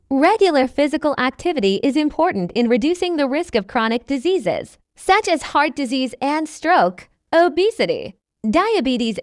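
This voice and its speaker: High, lively woman reading aloud. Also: dry recording, clean studio sound. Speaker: narrator of English test practice passages. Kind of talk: scripted read-aloud.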